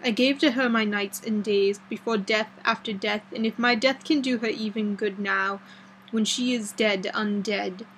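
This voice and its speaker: A woman's voice: mid-range voice, steady tone, moderate pace, a bit bright, a little hiss or hum, close to an everyday voice, low-quality recording. A woman reading the part of a grave, earnest old foreign doctor in an audiobook, with solemn, formal, slightly broken phrasing. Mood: pleading, sorrowful.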